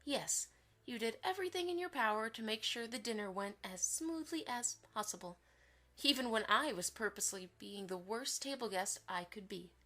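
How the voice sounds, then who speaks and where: A blunt, commanding mare publisher acted by a woman in a fan audio drama. The voice sits fairly high and is forceful and bright, with a firm, demanding way of lecturing.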